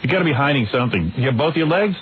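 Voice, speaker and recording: A man's voice: medium pitch, lively intonation, racing. A man hosting a radio show, asking a female guest prying, teasing questions and laughing often. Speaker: radio host. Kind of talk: crude radio interview banter. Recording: dull radio recording with clear hiss.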